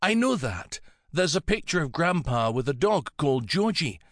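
A younger woman in an audiobook, voiced by a male narrator, tender and anxious. The voice sits well above a normal male pitch and moves expressively, earnest and full of feeling. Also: very animated, normal speed, a bit bright, no echo, clean studio sound, exaggerated voice. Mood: curious. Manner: pleading, emotional, earnest.

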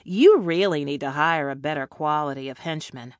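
A woman's voice: low voice, moderate pace, dry recording, lightly acted. A woman voicing a defiant, self-assured captive female agent in an audiobook, with a dry, sardonic manner. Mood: scornful.

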